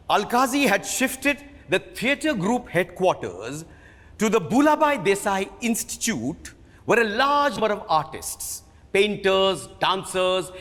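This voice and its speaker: A man with an Indian accent giving a theatrical, measured dramatic reading of a biography in a hall. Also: high-pitched, big pitch swings, a bit bright. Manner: theatrical, measured storytelling delivery. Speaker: theatre performer reading at a book event. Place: live reading in an event hall. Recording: reverberant hall.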